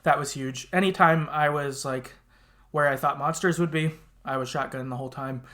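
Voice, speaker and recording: A man's voice: on the high side, fairly even intonation, normal speed, pushed and bright. A man hosting a casual gaming podcast, talking informally and often qualifying what he says. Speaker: podcast host.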